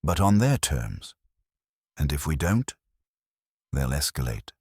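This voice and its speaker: A man plays a brilliant, imperious detective in a mystery audiobook. His voice is extremely low and the sound is dry. He delivers formal, terse verdicts with a scowl. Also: sing-song, takes it slowly, darkish tone, husky, dry recording, exaggerated voice. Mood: muttering, certain. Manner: pompous, formal, terse pronouncements.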